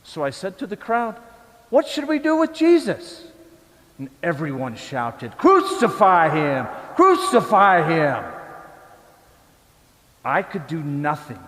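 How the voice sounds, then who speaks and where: Male actor as troubled Roman governor, church drama. Fairly high voice, very animated, emphatic, defensive, with big hall reverb. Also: exaggerated voice. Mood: anguished, defensive. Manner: emphatic, declamatory, deliberate. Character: troubled Roman governor, indignant and self-justifying. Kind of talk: church dramatic monologue, theatrical storytelling.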